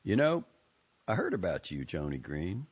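A man's voice: sits low, wildly swooping pitch, normal speed, breathy edge, highs cut off, heavily acted. A man voicing a lecherous middle-aged pickup driver who talks in an oily, wheedling way.

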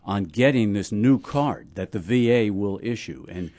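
A man in his sixties or older, talking plainly and deliberately as a panelist in a casual radio discussion. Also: fairly low pitch, animated, a little mellow. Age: 60s or older.

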